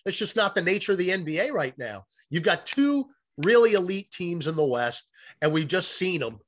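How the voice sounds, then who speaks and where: A man's voice: high-pitched, big pitch swings, normal speed, slight background noise. A man who works as a sports announcer, giving emphatic, opinionated conversational commentary on a podcast. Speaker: radio play-by-play announcer, podcast guest.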